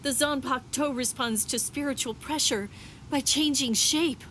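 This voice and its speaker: An actress playing a solemn, proud female warrior in a video game, speaking in formal, measured phrases. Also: high-pitched, noisy background, close to an everyday voice. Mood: stunned, pondering. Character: solemn, composed female Soul Reaper warrior, proud and grave. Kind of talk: dramatic English video game voice acting.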